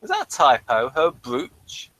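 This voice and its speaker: A man's voice: fairly high pitch, huge pitch swings, measured pace, bright, forceful tone, poor audio. A man co-hosting a review, commenting and reacting in casual conversation. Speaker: co-host of a TV episode review.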